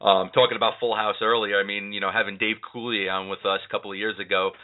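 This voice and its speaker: A man's voice: fairly low pitch, flat delivery, fast talker, a crowd in the background. A man hosting a chat show, talking casually and rambling with lots of fillers. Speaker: podcast host. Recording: tinny phone-line sound.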